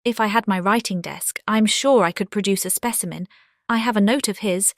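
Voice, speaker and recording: A woman playing a confident, witty young gentlewoman in an audiobook drama, with a British accent and an expressive, self-assured delivery. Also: mid-range voice, fairly quick, no room sound, clean studio sound, natural voice. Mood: bright, insistent. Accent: British English. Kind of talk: dramatized classic novel audiobook.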